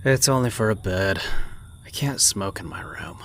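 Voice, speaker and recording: A man's voice: fairly low pitch, moderate pace, no echo, natural voice. A man playing a casual, teasing smoker in his late twenties for a manga dub, with a wry manner. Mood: startled, defensive. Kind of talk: anime-style manga dub acting.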